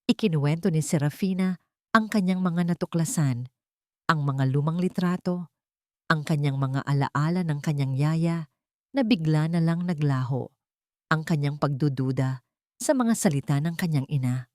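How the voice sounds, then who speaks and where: A woman's voice: deep voice, moderate pace, a little mellow, no echo, studio quality. A woman reading a scripted dramatic audio story as its narrator.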